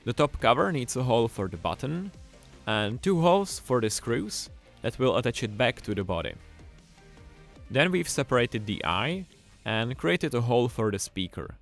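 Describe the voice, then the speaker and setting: Male tutorial narrator, mid-pitched and animated, scripted and enthusiastic, faint music underneath. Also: normal speed, gravelly. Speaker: tutorial presenter.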